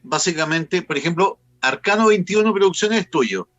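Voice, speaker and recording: Chilean male host, bright forceful voice, very dry close sound, colloquial interview chat. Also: sits high, animated, moderate pace, no room sound, dull audio, soft background music. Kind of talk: casual chatty interviewing.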